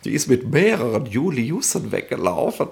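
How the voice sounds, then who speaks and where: A petty police bureaucrat with a creaky, mid-pitched voice. He is nosy and smug, rambling through officialese and tacking little folksy questions onto his sentences. The delivery is 1960s German radio play acting. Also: sing-song, moderate pace, a little mellow. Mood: smug, blunt. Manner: rambling officialese with colloquial 'ne?' and 'nicht?'.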